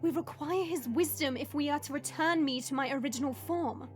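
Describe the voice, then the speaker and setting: A woman's voice: sits high, brisk, over music, natural voice. A woman playing a proud young dragon princess in human form in an audio drama, speaking formally and with authority. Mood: exasperated, pleading. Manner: formal, commanding.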